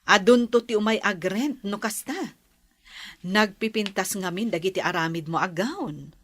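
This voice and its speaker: A woman's voice: mid-range voice, normal speed, fairly bright tone, slight background noise, close to an everyday voice. A woman with an Ilocano accent playing a kindly, encouraging madam in a radio drama. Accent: Filipino, Ilocano. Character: kindly, encouraging adult woman, addressed as ma'am.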